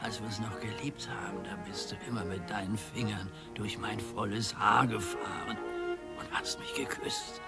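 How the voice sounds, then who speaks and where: A man's voice: on the low side, fairly even intonation, moderate pace, loud music behind the voice, lightly acted. An older man playing a needy, sentimental retired husband in a radio play, plaintive and nostalgic. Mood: tender, nostalgic.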